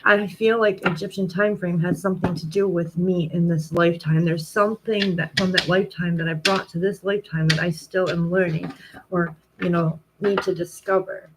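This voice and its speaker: A woman's voice: lowish voice, steady tone, moderate pace. Woman tarot reader, casual rambling chat, commanding tone, light background hiss. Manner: rambling, self-correcting, frequent "like" and "um".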